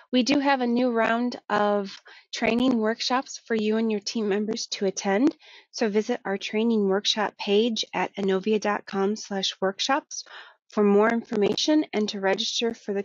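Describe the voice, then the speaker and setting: A woman's voice: on the high side, darkish tone, dry recording. A woman acting as webinar moderator, giving housekeeping notes and announcements to the attendees. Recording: slightly dull, lo-fi webinar audio.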